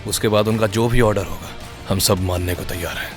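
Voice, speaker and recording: A man playing an earnest, quietly confident, underestimated constable in an audio drama. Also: lowish voice, steady tone, fairly quick, soft background music, natural voice. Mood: calmly confident.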